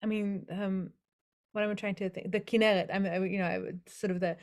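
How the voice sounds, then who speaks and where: A woman's voice: medium pitch, fairly even intonation, a little fast. Hesitant, thoughtful woman author. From Netherlands. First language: English as first language, also Hebrew and Dutch. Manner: thoughtful, hesitant, many fillers and restarts. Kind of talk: casual interview conversation.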